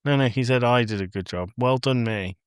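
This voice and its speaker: A man's voice: mid-range voice, brisk, clean tone, no echo, studio quality. A man co-hosting a podcast in casual, bantering conversation. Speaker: podcast co-host.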